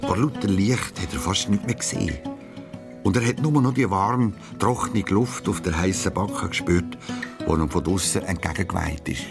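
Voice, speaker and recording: A man's voice: sits low, moderate pace, gravelly. A man narrating a children's audio story in a storytelling style. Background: loud guitar music.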